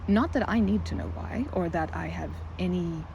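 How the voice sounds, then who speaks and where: A woman's voice: fairly low pitch, moderate pace, muffled recording, noisy background, lightly acted. A woman playing a timid, nervous young woman in an ASMR roleplay, stammering, repeating words and rambling in quirky, formal phrasing. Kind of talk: ASMR roleplay, one-sided audio drama.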